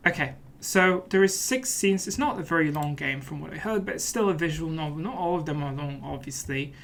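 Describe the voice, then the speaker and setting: A man's voice: on the high side, expressive, brisk, vocal fry, fairly bright tone. A man talks casually through a game as he plays it. His delivery is playful and often mock-serious, in the style of a let's play host. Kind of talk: casual gameplay commentary.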